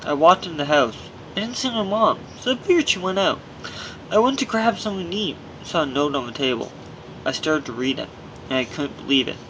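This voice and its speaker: A man with a fairly high voice reads his own memoir as an audiobook narrator. His delivery is earnest and scripted, with the odd stumble over a word. There is faint noise on the amateur recording. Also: lively intonation, fairly quick, bright, forceful tone, poor audio. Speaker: author reading own memoir.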